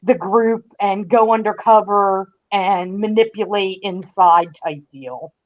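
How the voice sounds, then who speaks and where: A woman's voice: medium pitch, slow. A woman speaking casually as a guest commentator, over a muffled connection. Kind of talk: casual chat.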